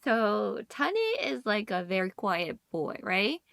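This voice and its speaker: A woman's voice: mid-range voice, animated, measured pace, gravelly, a bit bright, dry recording, studio quality. A woman co-hosting a podcast, chatting casually and expressively. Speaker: podcast co-host.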